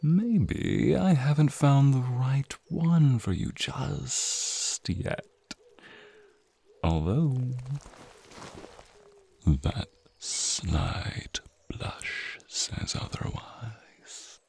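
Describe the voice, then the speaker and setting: A man playing a suave, courtly naga prince in an ASMR fantasy roleplay, speaking softly and breathily straight to the listener. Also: mid-range voice, expressive, heavily acted. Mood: teasing, flirty. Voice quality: soft, breathy, husky. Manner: teasing, courtly, drawn-out phrasing. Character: flirty serpentine naga prince, suave, protective, wistful beneath.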